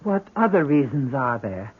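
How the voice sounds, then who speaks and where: A woman's voice: very deep voice, sing-song, moderate pace, husky, exaggerated voice. An actress playing an anxious, hesitant middle-aged mother in a 1970s radio drama. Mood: uneasy, hesitant. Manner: hesitant, urgent, emotional. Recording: dull vintage radio broadcast.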